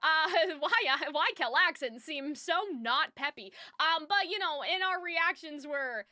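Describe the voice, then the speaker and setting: A woman's voice: measured pace, no echo. A woman chatting casually as a livestream host, rambling and slangy in a giggly way, close to the microphone. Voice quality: high-pitched when excited. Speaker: live streamer, host. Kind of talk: casual livestream chat with viewers. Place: soundproofed room at home. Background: faint music.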